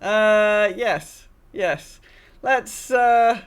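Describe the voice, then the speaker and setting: A woman with a mid-pitched voice co-hosts a casual podcast. She talks in hesitant stretches, with dry understatement. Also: very slow, smooth. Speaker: podcast co-host.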